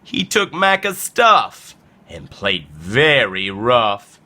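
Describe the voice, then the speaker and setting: A man's voice: medium pitch, huge pitch swings, very slow, bright, forceful tone. A man reading a children's picture book aloud in a playful, dramatic storytelling style.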